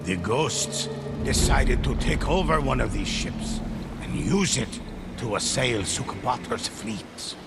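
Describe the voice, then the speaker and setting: A man narrating a game story in a measured, solemn way. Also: mid-range voice, lively intonation, over loud music.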